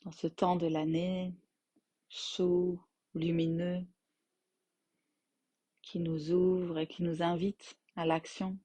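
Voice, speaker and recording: A woman guiding a yoga nidra relaxation as its teacher, in gentle, unhurried phrases with long pauses. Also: fairly low pitch, flat delivery, dry recording. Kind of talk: guided meditation, slow soothing instruction.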